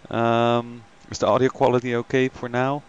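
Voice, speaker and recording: A man's voice: medium pitch, flat delivery, normal speed, smooth, dull audio. A man hosting a flight simulator live stream, chatting casually with many ums and greeting viewers by name.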